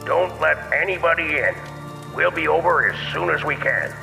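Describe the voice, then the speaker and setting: A male actor plays a measured, authoritative police investigator in an audio drama. His crisp, creaky voice rings with long reverb. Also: on the high side, pushed and bright, exaggerated voice. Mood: firm. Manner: measured, businesslike. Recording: long hall reverb, faint music.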